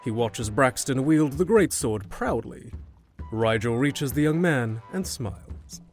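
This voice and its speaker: A man reads scripted narration for a fantasy audio drama. His voice sits at an average pitch. Music runs quietly beneath the storytelling. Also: lively intonation, unhurried. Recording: music under the voice.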